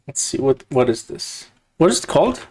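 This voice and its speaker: A man's voice: mid-range voice, very animated, moderate pace, a little mellow. A young man co-hosting a casual podcast chat, teasing his friend and laughing often. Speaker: podcast co-host.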